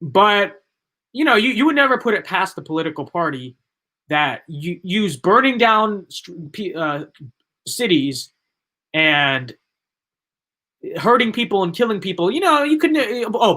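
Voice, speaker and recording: A young man running live-stream commentary in a slightly high voice. He talks in rambling, emphatic bursts, circling back over the same points. His voice is starting to wear thin and hoarse. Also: big pitch swings, normal speed, bright, forceful tone. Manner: rambling, emphatic, repeats himself. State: losing his voice. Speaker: livestream political commentator.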